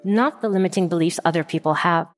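A woman's voice: on the low side, fast, soft background music. A middle-aged woman from Saudi Arabia telling her story as an interview guest, candid and emphatic.

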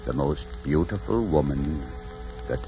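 An actor playing a proud, embittered old Spanish conquistador in theatrical 1940s radio style. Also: male voice, very deep voice, animated, unhurried, full character voice. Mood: weary, wistful. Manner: impassioned, theatrical. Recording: muffled 1940s radio recording, music behind.

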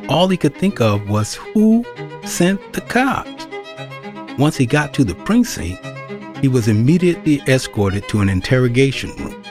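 A man's voice: medium pitch, sing-song, unhurried, a little mellow, faint music behind. A man narrating a scripted audio drama in a wry storytelling manner.

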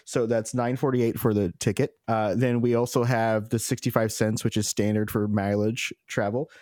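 A man co-hosting a film podcast, joking and animated, laughing often. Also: on the low side, moderate pace, dry recording, studio quality. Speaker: podcast co-host. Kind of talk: casual chat.

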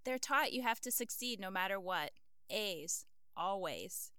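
A woman's voice: mid-range voice, animated, a little slow, pushed and bright, clear, resonant tone, no room sound, studio quality. A woman playing a frank older wife in an audio drama, speaking in an earnest, lecturing way. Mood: earnest, reproving.

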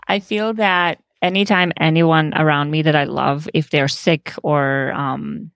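A woman's voice: deep voice, fairly even intonation, a little slow, dry recording, clean studio sound. A woman from Bosnia and Montenegro, a podcast guest who talks casually about her design business and laughs often. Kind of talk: casual conversation.

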